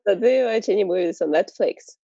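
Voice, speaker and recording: A woman hosting a video call as a teacher, giving quick, encouraging replies. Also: medium pitch, animated, dark tone, dry recording. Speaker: host teacher. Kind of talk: casual video-call chat. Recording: muffled video-call audio.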